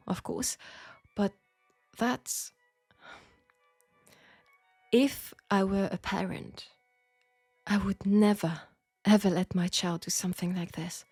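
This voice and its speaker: A woman playing a flirtatious, caring Parisian seamstress in an intimate steampunk audio roleplay, speaking with a French accent and a soft, hesitant delivery. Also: mid-range voice, breathy edge, no room sound. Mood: troubled, compassionate. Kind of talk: intimate scripted audio roleplay addressed to the listener.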